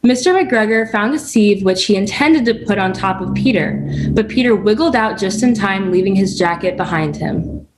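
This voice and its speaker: A young woman narrates a children's storybook. Her pitch is mid-range, and she reads aloud as a student volunteer. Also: expressive, normal speed, slight background noise, poor audio. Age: young adult.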